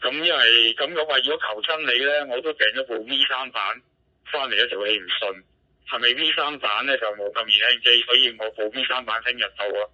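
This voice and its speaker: A man's voice: a little fast, pushed and bright. A man with a Hong Kong Cantonese accent chatting casually as an amateur operator over a two-way digital radio. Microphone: radio transceiver microphone. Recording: muffled low-fidelity digital radio transmission.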